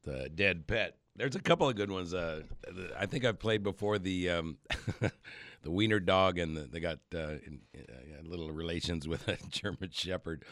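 A man's voice: low voice, moderate pace, fairly bright tone, no room sound. A man hosting a casual radio show while getting over a cold, rambling with fillers. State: has a cold. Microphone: studio microphone.

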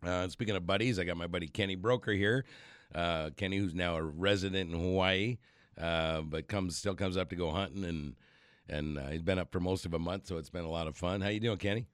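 A man's voice: low voice, steady tone, fairly quick, clean tone, dry recording, studio quality. A man hosting a casual radio show while getting over a cold, rambling with fillers. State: has a cold. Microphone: studio microphone.

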